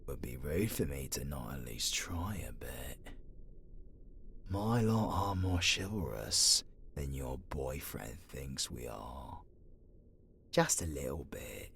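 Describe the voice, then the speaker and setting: A performer acts out a caged demon rogue in a fantasy ASMR drama. The voice is low and British, swinging widely in pitch between defiant growl and tender hush. The delivery is slow, breathy and colloquial. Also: animated, slight background noise, full character voice. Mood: grudgingly appreciative. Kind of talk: ASMR roleplay acting.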